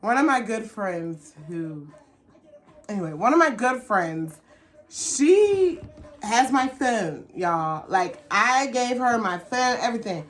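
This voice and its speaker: A woman vlogger telling a personal story in a casual, animated, slangy chat, acting out what people said. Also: on the high side, slow pace. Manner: animated, slangy, candid storytelling with acted-out quotes.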